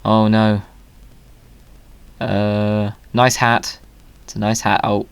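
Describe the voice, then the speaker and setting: Whimsical gamer, mid-pitched, close dry sound. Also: little pitch movement, takes it slowly, creaky, clean tone, no echo. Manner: whimsical, playful. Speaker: gaming video host. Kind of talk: casual gameplay commentary and chat.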